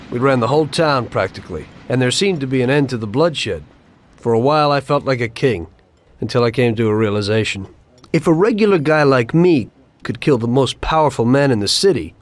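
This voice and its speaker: A man's voice: mid-range voice, close to an everyday voice. Disillusioned American gangster played by a male actor, mid-range voice, weary and cynical, telling his story plainly for a crime game. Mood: cynical, reflective. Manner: reflective, plain-spoken, cynical. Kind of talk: dramatic game cutscene narration.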